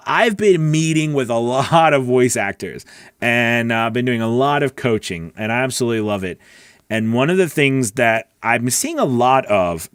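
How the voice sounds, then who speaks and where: Middle aged guy talking casually to camera like a coach, medium pitch, asks lots of questions, close dry studio mic. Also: no room sound. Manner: conversational, asks rhetorical questions, checks in with "okay?" and "right?". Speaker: host, voice-over coach. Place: small home recording studio. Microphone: large studio microphone on a boom arm, close.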